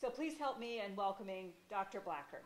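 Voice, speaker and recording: A woman's voice: mid-range voice, animated, fairly quick. A woman hosting the event who steadily reads a prepared, formal introduction of the featured speaker. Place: lecture hall. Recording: echoey hall, faint room noise.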